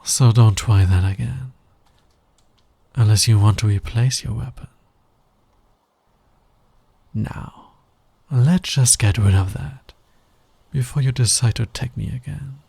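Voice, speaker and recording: A man playing a sly, teasing, dominant fantasy villain in an intimate ASMR roleplay, breathy and husky, often near a whisper. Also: mid-range voice, warm tone, dry recording, exaggerated voice. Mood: commanding. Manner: slow, teasing, deliberate delivery. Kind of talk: intimate ASMR roleplay, romantic audio drama.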